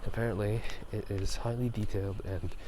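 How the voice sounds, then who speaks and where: A man speaking in soft whispers for a casual ASMR gaming chat outdoors at night, unscripted and rambling, often correcting himself. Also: on the low side, steady tone, brisk, noisy background. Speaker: video host. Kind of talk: whispered ASMR chat about video games. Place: outdoors at night near rice fields.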